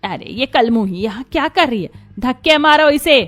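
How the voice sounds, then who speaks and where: A woman's voice: high voice, expressive, normal speed, fairly bright tone. A woman voicing a scheming, venomous mother-in-law in a dramatized family story, theatrical and manipulative. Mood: furious.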